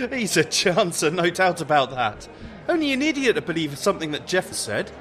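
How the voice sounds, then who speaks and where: A man's voice: high voice, sing-song, moderate pace, a bit bright, faint music behind. A man acting in a radio comedy as a loyal, gossipy and scornful friend at the pub, blunt and incredulous. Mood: amused disbelief.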